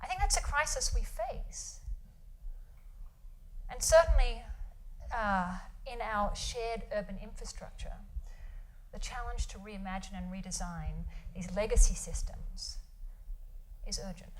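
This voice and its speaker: A woman with an Australian accent giving a university guest lecture in a quiet voice, breaking off mid-sentence and asking rhetorical questions. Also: medium pitch, unhurried, rough recording. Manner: quiet, self-interrupting, rhetorical questions with "right?". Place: lecture hall.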